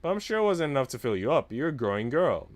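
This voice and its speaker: Man voicing an arch, flirtatious servant woman, animated. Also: mid-range voice, quick pace, natural voice. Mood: coaxing, playful.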